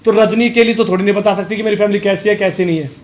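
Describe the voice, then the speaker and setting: A man's voice: high voice, fairly even intonation, racing, reverberant. A man giving an unscripted, defensive and accusatory rebuttal, often repeating himself. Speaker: vlogger.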